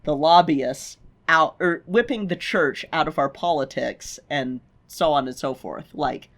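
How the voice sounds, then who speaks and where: A woman's voice: deep voice, a little slow. A woman co-hosting a podcast, chatting casually with wry, emphatic opinions. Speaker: podcast co-host.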